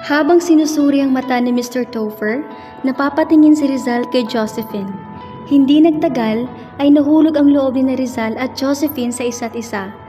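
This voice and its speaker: High-pitched young Filipino woman narrating. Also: normal speed, mellow. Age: young adult. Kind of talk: scripted radio drama narration. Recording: reverberant hall, music behind.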